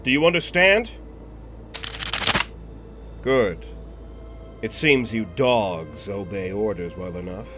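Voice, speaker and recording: Slow, imperious villain commander acted by a man. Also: on the low side, a bit bright, faint music behind. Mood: menacing, commanding. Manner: deliberate, imperious. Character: cold, contemptuous male villain commanding a squad. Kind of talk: anime audio roleplay acting.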